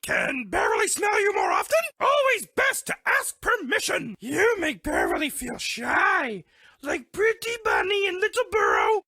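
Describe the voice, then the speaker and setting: A woman's voice: very high voice, wildly swooping pitch, a little slow, gravelly, pushed and bright, no room sound, raised voice, full character voice. A woman voicing a sweet, dramatic, lovestruck female bear in a cartoon dating game, speaking broken English in a gushing way. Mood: shy, fond.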